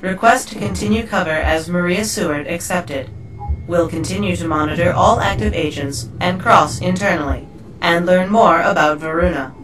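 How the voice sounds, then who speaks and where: A woman's voice: on the low side, moderate pace, lightly acted. Woman as a distant, echoing computer voice. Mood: impassive. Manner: clipped, formal, emotionless. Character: impassive female computer system giving status reports. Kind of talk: scripted computer announcements. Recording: echoey, distant, loud music behind.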